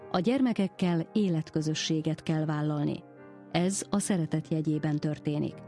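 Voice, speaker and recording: A woman narrator reads prepared text in a low, warm and mellow voice. Her delivery is that of a scripted programme, with music playing faintly underneath.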